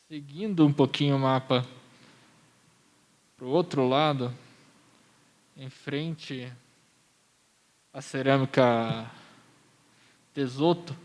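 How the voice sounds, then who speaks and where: A man with a Brazilian accent and a slightly high voice speaks as a municipal planning presenter. He explains things in a measured way, as at a formal public hearing.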